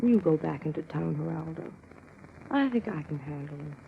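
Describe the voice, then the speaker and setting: A woman's voice: sits low, animated, normal speed, vocal fry. An actress playing a naive, trusting young woman, innocent and questioning, in a vintage radio drama. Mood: coy. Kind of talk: 1950s radio drama acting. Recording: muffled, noisy vintage radio recording.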